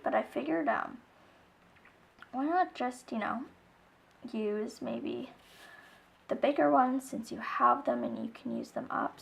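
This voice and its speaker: A slightly hoarse young woman chatting casually while she decorates her planner, rambling softly and often dropping to a near whisper. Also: fairly high pitch. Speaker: planner vlogger.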